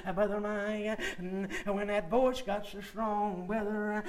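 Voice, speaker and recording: A man's voice: sits high, fairly even intonation, unhurried, echoey room, a crowd in the background. A man preaching to his congregation in a rhythmic sing-song chant, dropping "uh" and "and today" fillers as he calls out brothers by name. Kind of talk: chanted old-time sermon.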